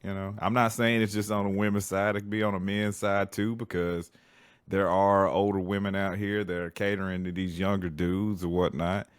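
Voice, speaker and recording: A man's voice: low voice, flat delivery, moderate pace, smooth, dry recording, studio quality. A man in his forties chatting casually as a podcast co-host, with slangy, laid-back phrasing. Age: 40s. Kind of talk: casual chat.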